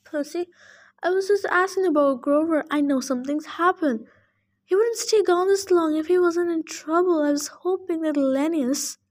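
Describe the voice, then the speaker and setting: A woman's voice: very high voice, normal speed, darkish tone, voice pushed up. A woman voicing a young female tree nymph, earnest and insistent, close to tears. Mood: pleading. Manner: pleading, insistent.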